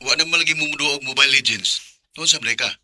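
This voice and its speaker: A man's voice: medium pitch, fast talker, sharp tone. An actor playing a concerned male friend who is eager to comfort and woo, in a radio drama with a Visayan Filipino accent. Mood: coaxing, concerned.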